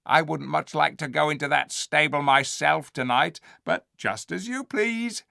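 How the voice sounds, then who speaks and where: A sly, boastful ape that sneers and jeers at everyone in a high, swooping voice, put on by a man reading a storybook aloud. The gravelly edge and dry, close sound stay under every taunt. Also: animated, unhurried, pushed and bright, dry recording, full character voice. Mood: jeering. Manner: mocking, sneering. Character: sly jeering male ape, bullying and boastful.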